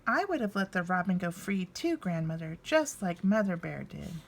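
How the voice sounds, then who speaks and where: A woman's voice: fairly low pitch, very animated, moderate pace, vocal fry, heavily acted. A woman voicing an eager, curious little boy bear cub in a storybook. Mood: chatty.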